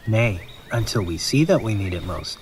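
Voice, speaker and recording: A man's voice: on the low side, expressive, close to an everyday voice. A man playing a patient, pious mentor who speaks formally and instructively in an amateur audio drama. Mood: solemn, instructive. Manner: measured, formal, preachy. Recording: clear music bed under dialogue.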